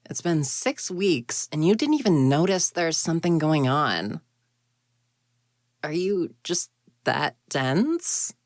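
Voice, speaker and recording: A woman's voice: low-pitched, gravelly, no room sound, clean studio sound, exaggerated voice. A woman voicing a grumpy, proud young female ghost in an intimate roleplay audio drama, huffy and easily flustered. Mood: smug, boastful. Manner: huffy, indignant, flustered stammers, conversational.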